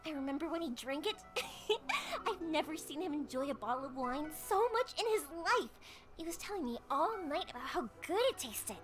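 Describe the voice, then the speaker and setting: A woman voicing a warm-hearted, chatty young fireworks maker in a video game. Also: high-pitched, moderate pace, music in the background. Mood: chatty, friendly. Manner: chatty, friendly, expressive.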